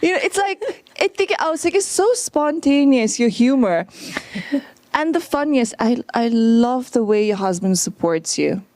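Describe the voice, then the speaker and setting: A woman's voice: a little high, expressive, normal speed, darkish tone, no room sound. A woman hosting a casual chat interview in an effusive, informal, exclaiming way. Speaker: interviewer, host.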